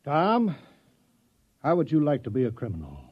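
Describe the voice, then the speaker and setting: A man's voice: on the high side, huge pitch swings, normal speed, slightly rough, heavily acted. A man playing a fussy, fretful and bossy colony mayor in an old-time radio comedy-drama. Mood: harried. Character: fussy, flustered small-town colony mayor. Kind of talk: 1950s radio acting. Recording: muffled old radio broadcast.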